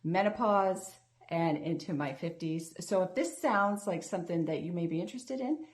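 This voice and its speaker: A woman's voice: on the low side, animated, normal speed. A woman in her fifties, a health coach hosting her own vlog, talking earnestly and chattily to the camera with frequent restarts. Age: 50s.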